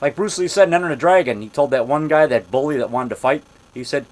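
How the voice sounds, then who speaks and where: A man in his fifties tells a long, wandering story in a loud, fairly high voice. He circles back and repeats himself, growing more worked up as he talks. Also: fast talker. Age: 50s. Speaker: monster movie maker telling a story. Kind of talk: casual storytelling monologue.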